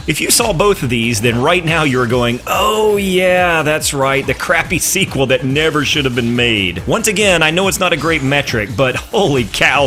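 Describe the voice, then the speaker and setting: Lively, high-pitched male countdown narrator. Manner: wry, sarcastic. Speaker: countdown video host. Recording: music under the voice. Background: music.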